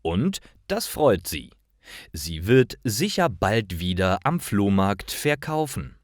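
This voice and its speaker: A man reading simple stories for language learners as a scripted narration, in careful, clearly separated short phrases. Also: on the low side, sing-song, takes it slowly, no echo, clean studio sound.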